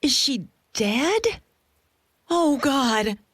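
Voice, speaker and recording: A woman voicing a frightened witness in a mystery game, haltingly recounting something dreadful in a dramatic way. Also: high voice, lively intonation, heavily acted. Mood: horrified. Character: shaken, frightened female witness recounting a horror. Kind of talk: video game voice acting.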